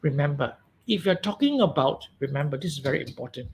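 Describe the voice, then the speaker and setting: A man's voice: a little high, animated, fast talker, vocal fry, a little mellow. A man with a Malaysian English accent teaching a grammar webinar, stressing and repeating key words and often checking "okay?".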